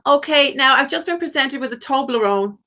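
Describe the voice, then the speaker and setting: A British woman with a fairly high voice gives a live spirit reading as a phone-in medium. She talks in a casual, chatty flow and keeps checking in with the person she is speaking to. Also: animated, fairly quick, pushed and bright. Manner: chatty, often checks with "okay?" and "do you understand".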